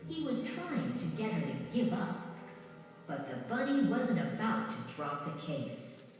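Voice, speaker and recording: A woman reading a scripted children's story in a measured, dramatic storytelling manner. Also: mid-range voice, wildly swooping pitch, darkish tone. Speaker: storybook narrator. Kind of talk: scripted children's read-along narration. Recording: very echoey, distant, music behind. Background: music.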